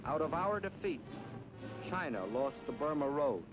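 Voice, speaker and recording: A man's voice: fairly high pitch, big pitch swings, unhurried. An American man narrating a wartime propaganda film in a grave, emphatic, declamatory 1940s newsreel style. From United States. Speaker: documentary narrator. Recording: dull 1940s film soundtrack. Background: orchestral music.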